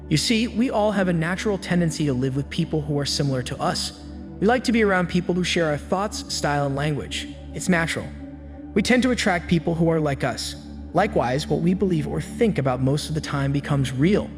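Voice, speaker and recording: A man giving scripted self-help narration with an even, steady storytelling delivery. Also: on the high side. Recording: long hall reverb with faint music. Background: faint background music.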